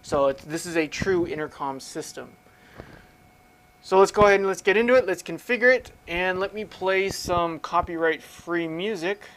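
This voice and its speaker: A man's voice: sits high, moderate pace, a bit bright, low-quality recording. A man giving a casual step-by-step technical tutorial, posing questions to himself and explaining as he demonstrates. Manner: informal, self-questioning, explains aloud while demonstrating. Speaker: instructor.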